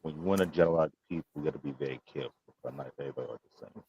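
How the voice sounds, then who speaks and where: A man's voice: rapid-fire, husky, no echo. A man, speaking earnestly and formally on a live panel, repeating himself for emphasis. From Nigeria. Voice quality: deep voice. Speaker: panel guest. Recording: dull muffled voice-chat audio.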